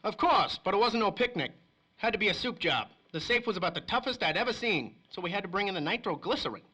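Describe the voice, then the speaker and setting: High-pitched, shifty radio snitch. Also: male voice, animated, fast talker, pushed and bright, exaggerated voice, low-quality recording. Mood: reluctant confiding. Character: nervous, shifty male small-time crook turned snitch. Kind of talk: 1930s old-time radio crime drama acting.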